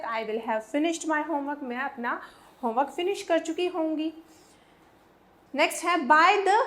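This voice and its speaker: A woman with an Indian accent teaching English grammar, explaining step by step and repeating points for emphasis. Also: high-pitched.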